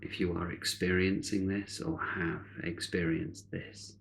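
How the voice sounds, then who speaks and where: A man leads a guided meditation in a low, gravelly voice. He speaks in short, solemn phrases with long, deliberate pauses, keeping a soothing and measured delivery. There is some background noise under the voice. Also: flat delivery, a bit bright. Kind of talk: guided meditation, spiritual narration.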